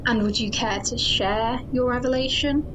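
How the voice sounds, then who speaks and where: Sci-fi audio drama: female security chief, actress, higher register, rigid, suspicious, formal, with clear ambient noise behind. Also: fairly even intonation, normal speed, close to an everyday voice. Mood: stern, formal. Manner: clipped, formal, officious. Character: suspicious, hard-line female security chief, military officer.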